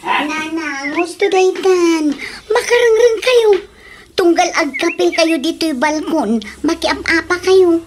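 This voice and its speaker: Casting: actress as challenging Ilocano kid for radio comedy, extremely high voice, indignant whine, noisy recording. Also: female voice, slow pace.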